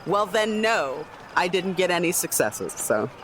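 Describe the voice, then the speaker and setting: A man's voice: sits high, sing-song, measured pace, noisy background. A man chatting casually as a player in a tabletop roleplaying game, slipping between character and table talk.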